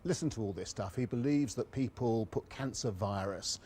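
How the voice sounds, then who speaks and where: A man's voice: on the low side, normal speed, warm tone. A man on a TV panel, speaking hesitantly with stammering restarts, heard in a broadcast clip. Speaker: panel guest. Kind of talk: TV panel discussion.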